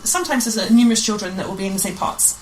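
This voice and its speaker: Female guest for casual radio chat, mid-pitched, emphatic phrasing, light ambient noise behind. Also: racing, rough recording. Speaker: guest, amateur pantomime director. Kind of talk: casual conversational interview.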